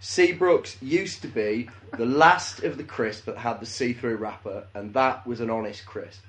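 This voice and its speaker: Brief: thirties man, comedy podcast co-host, deadpan and crude. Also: mid-range voice, unhurried. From English Midlands. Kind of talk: casual comedic chat.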